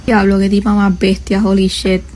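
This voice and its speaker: A woman's voice: mid-range voice, completely flat delivery, a little fast, darkish tone, slight background noise. A woman from Puerto Rico tells a story in a social video clip, sarcastic and exasperated.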